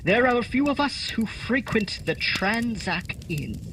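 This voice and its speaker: A man's voice: very high-pitched, sing-song, measured pace, pushed and bright, slight background noise, exaggerated voice. A man playing a humble, courteous, grateful family man in a radio play, with formal speech. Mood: grateful, earnest.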